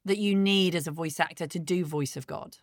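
A woman's voice: on the low side, a little fast, dry recording, clean studio sound. A woman hosting a casual interview, asking questions and giving short, encouraging replies. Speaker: podcast host.

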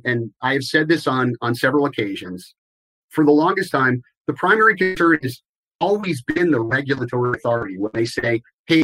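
A man answering interview questions in a measured, explanatory way, with some restarts. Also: medium pitch, a bit bright, poor audio. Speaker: guest, compliance expert.

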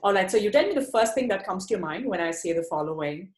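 A woman from India with an Indian accent, hosting a podcast interview and leading rapid questions with thanks. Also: medium pitch, animated, a little mellow, roomy sound. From Chennai, India. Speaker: podcast host.

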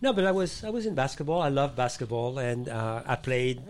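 A man's voice: medium pitch, big pitch swings, brisk. Older man, searching for words. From born in Morocco, raised in Paris. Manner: searching for English words, quiet and trailing. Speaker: guest, fashion designer. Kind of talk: casual interview chat.

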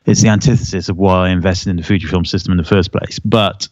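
A middle-aged man with a very low voice chats loosely on a podcast, circling his point with fillers and restarts. The sound is dry, close and a touch dull and lo-fi. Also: quick pace, no room sound. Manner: relaxed, rambling, many fillers and restarts. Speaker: wedding photographer and podcast co-host. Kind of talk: casual chat. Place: home office.

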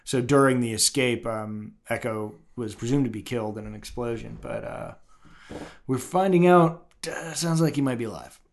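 A man co-hosting a podcast, chatting casually and pausing often as he explains. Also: mid-range voice, creaky. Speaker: podcast co-host. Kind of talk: casual fan review chat.

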